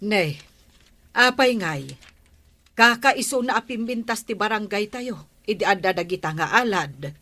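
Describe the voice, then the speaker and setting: A woman's voice: medium pitch, lively intonation, a little slow, pushed and bright. A woman playing a complaining, gossipy villager in an Ilocano comedy radio drama, with an Ilocano accent. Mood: complaining, puzzled.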